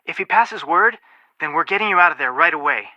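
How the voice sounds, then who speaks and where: A man's voice: a little high, lively intonation, fairly quick, dry recording, highs cut off, lightly acted. An actor voicing a level-headed, protective young male amateur detective in a video game, speaking in an earnest, matter-of-fact way with dry wit. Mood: serious, protective. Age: young adult.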